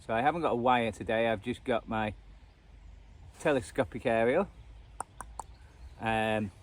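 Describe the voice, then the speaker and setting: A man's voice: mid-range voice, unhurried. Outdoor recording, noticeable ambient noise, Northern English man, casual vlogger chat, hesitant, thinking aloud, unscripted. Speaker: radio hobbyist vlogger. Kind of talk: casual unscripted chat, demonstrating a radio band scan. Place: outdoors at edge of a churchyard.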